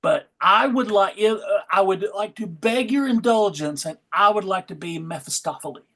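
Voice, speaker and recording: A woman's voice: medium pitch, lively intonation, normal speed, fairly bright tone. Female table read presenter, middle register, informal off-the-cuff chat. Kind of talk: casual unscripted chat to an audience.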